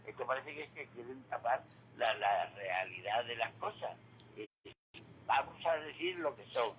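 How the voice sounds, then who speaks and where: Emphatic Andalusian man with a bright, forceful voice. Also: medium pitch, dull audio, a little hiss or hum. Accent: Andalusian Spanish. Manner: emphatic, didactic, repeats key figures. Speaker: radio commentator on current affairs. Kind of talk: conversational economic commentary. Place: local radio studio.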